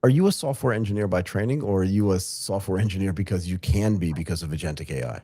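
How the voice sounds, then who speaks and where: A man's voice: low-pitched, fast talker, dark tone, husky, no echo, clean studio sound. A man hosting a podcast interview, joking with his guest and dropping in frequent short interjections. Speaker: podcast host. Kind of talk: casual interview conversation.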